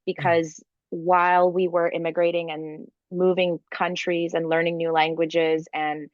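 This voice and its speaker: A woman's voice: lowish voice, little pitch movement, no room sound. Ukrainian-born woman in her 30s, chatty, lots of like and um, dry muffled sound. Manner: fast, filler-heavy, enthusiastic with many "like"s. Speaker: guest entrepreneur. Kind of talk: conversational interview.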